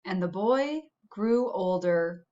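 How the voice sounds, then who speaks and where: A woman's voice: mid-range voice, animated, slow pace, warm tone, muffled recording. A woman reading a storybook aloud to children, like a school principal addressing pupils.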